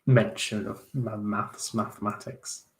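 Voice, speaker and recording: A man's voice: fairly low pitch, steady tone, a little slow. A young man chatting casually as he answers viewer questions on a livestream, self-deprecating, with many fillers and restarts. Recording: roomy echo.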